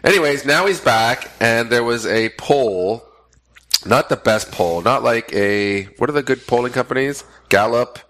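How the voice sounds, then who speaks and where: A man's voice: medium pitch, unhurried, fairly bright tone. Young man, slightly nasal from a cold, joking and rambling as a casual podcast co-host. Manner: joking, rambling, self-interrupting. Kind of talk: casual chat.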